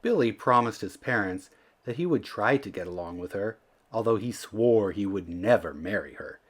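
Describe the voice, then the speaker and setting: A man narrating a children's storybook aloud in an expressive, theatrical way, leaning into the emphatic words. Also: fairly low pitch, normal speed. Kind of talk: animated read-aloud narration.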